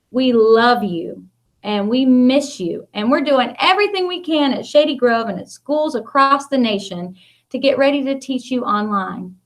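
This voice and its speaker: A middle-aged American woman, a school principal, reads a picture book aloud to children in simple, expressive phrases. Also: high-pitched. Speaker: school principal reading to pupils.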